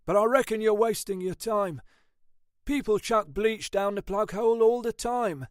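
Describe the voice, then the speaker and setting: A man's voice: high-pitched, normal speed, dry recording, studio quality, pitched above the performer's own voice, natural voice. A man doing a doubtful, offhand policeman. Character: easygoing, doubtful male police colleague.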